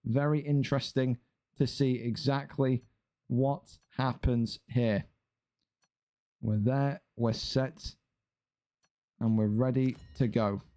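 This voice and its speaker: A man's voice: mid-range voice, steady tone, slow pace, long pauses, dark tone. A man giving live, chatty commentary on an online boxing league, building up the fighters and bantering with viewers. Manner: chatty, hype-building, teasing asides to chat.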